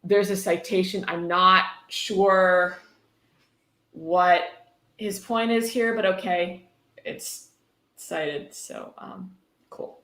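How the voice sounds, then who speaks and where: A woman's voice: lowish voice, fairly even intonation, very slow, pauses often, fairly bright tone. Echoey sarcastic woman reading aloud. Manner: sarcastic, mock-approving asides. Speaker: host. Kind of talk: casual live commentary with a read-aloud book. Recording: echoey room.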